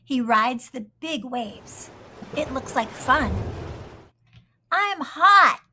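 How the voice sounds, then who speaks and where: Female storybook reader, highish pitch, very slow, expressive, careful enunciation for young children. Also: slight background noise. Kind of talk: children's picture-book read-aloud.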